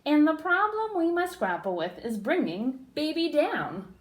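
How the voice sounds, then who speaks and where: A woman's voice: sits high, very animated, unhurried. A woman voicing a kindly, earnest storybook clergyman who declaims as he rallies the townsfolk. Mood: kindly, resolute.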